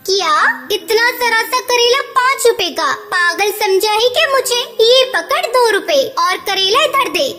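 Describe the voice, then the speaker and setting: A woman's voice: very high voice, lively intonation, a little slow, hardly any pauses, sharp tone, faint music behind, exaggerated voice. A woman voicing a miserly, cunning, bossy mother-in-law bird in a cartoon, with a scolding, haggling, emphatic delivery. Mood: haggling, indignant. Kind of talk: Hindi cartoon voice acting.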